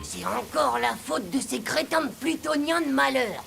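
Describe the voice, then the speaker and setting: Cartoon lead: cocky, melodramatic little boy, very croaky and high, music underneath. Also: expressive, moderate pace, fairly bright tone, exaggerated voice. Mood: panicked. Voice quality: creaky, gravelly. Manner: dramatic, slangy, cocksure. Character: excitable naive mischievous young boy, melodramatic schemer. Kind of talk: French animated cartoon acting. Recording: music bed under dialogue.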